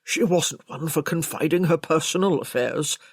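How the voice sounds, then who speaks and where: A woman's voice: low-pitched, normal speed, breathy edge, no room sound, clean studio sound. A woman voicing a stiff, formal, long-serving male servant in an audiobook. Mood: stiff, defensive. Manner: formal, stuffy.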